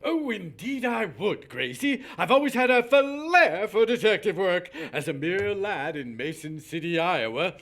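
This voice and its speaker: A man playing a pompous, flowery-spoken, self-satisfied eccentric gentleman in a 1940s-style radio comedy. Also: sits high, very animated, full character voice. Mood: grand, delighted. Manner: pompous, florid, drawn-out.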